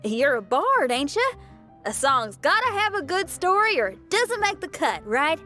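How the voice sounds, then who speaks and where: Bright, folksy young adventurer. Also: female voice, high voice, very animated, moderate pace, heavily acted. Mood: breezy. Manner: folksy, breezy, colloquial. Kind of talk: anime game voice acting. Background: background music.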